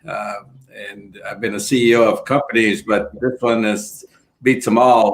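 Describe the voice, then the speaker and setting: A man in his fifties from Sri Lanka, a financial advisor speaking as an interview guest over a video call, measured and reflective. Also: medium pitch, a bit bright, low-quality recording. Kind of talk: interview conversation.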